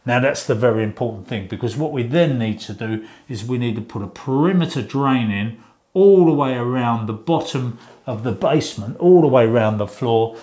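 A man's voice: mid-range voice, lively intonation, normal speed, mellow. A middle-aged man giving an informal explanation, conversational in tone and repeating words for emphasis. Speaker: presenter of a home-building advice show. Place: small indoor room, in front of a whiteboard.

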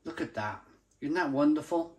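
A man's voice: a little high, animated, moderate pace, darkish tone. A man hosting a review channel, talking casually and unscripted to camera, savouring and repeating his descriptive words with enthusiasm. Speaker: beer review channel host.

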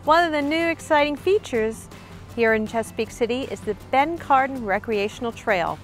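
A middle-aged American woman hosting a scripted travel piece to camera, speaking clearly and deliberately. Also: a little high, lively intonation, soft background music. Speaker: host, librarian. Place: outdoors in a small waterfront town.

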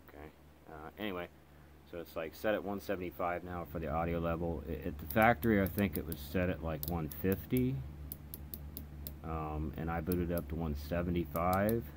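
A man giving a casual, unscripted hands-on product demonstration in a halting, hesitant way, as a hobbyist reviewer. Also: deep voice. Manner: hesitant, halting delivery with trailing phrases. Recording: clear background noise in room.

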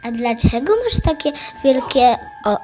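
A woman's voice: on the high side, a little slow, music in the background, lightly acted. A young female performer playing a trusting, puzzled little girl in a fairy-tale audio drama. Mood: innocent, puzzled.

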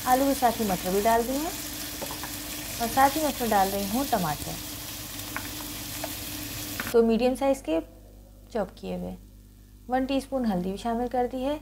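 Female cooking host, slightly high voice, step-by-step instructional recipe narration. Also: moderate pace, long pauses, background noise.

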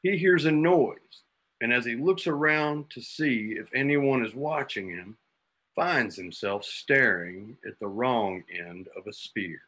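A man's voice: medium pitch, slow, sharp tone. A man giving scripted dramatic narration for a radio play, reading out sound cues as the narrator. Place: home room on a video call.

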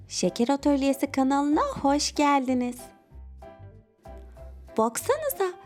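A woman narrator for children's stories with a high voice. She tells the scripted tale expressively and addresses kids directly, over clearly audible music. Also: darkish tone. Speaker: narrator of a children's story channel.

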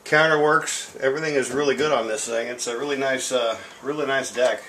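A man's voice: medium pitch, steady tone, brisk, heavy background noise. A middle-aged man giving a casual, unscripted equipment demonstration in a rambling, self-deprecating way. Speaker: tape deck seller demonstrating equipment. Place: indoor room at home. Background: music from record and tape playback.

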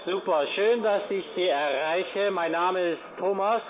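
High-voiced salesman on a noisy phone line. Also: measured pace. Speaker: call centre sales agent. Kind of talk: casual telephone sales pitch. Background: loud music and crowd chatter.

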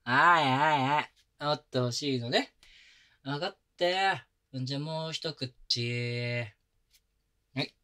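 A man playing a young boyfriend who coaxes and pampers his partner, talking in rough casual slang softened with gentle whispers, recorded close on a binaural mic. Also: medium pitch, slow, long pauses. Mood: tender, teasing. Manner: soft close whispers at times. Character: young male boyfriend, rough-spoken but doting caretaker. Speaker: voice actor. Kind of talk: Japanese girlfriend-experience roleplay audio drama.

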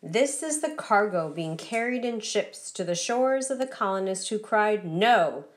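A woman reads a picture book aloud for children. Her voice sits at a medium pitch, and she recites the narration deliberately, with a steady rhythm. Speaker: storybook reader.

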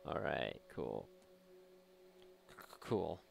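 A man's voice: extremely low pitch, sing-song, drawn-out words, gravelly. A man giving casual, sarcastic, sweary video game commentary with mock exasperation. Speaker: video game let's-player. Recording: faint game noise behind voice.

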